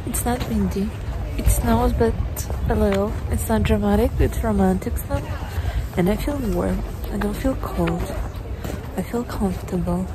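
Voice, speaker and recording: A woman's voice: mid-range voice, unhurried, noisy background. A woman in her twenties chatting casually in short, simple phrases as a solo travel vlogger, recorded outdoors close to the camera. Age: 20s. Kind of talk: casual vlog chat to camera. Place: outdoors in a snowy city. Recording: loud background music over outdoor vlog audio. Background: music.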